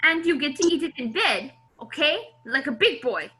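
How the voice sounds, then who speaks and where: A woman's voice: high voice, sing-song, moderate pace, pushed and bright, full character voice. A woman playing a pompous, childish villain boss in an amateur comedic script reading, bossy, possessive and petulant. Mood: pompous commanding.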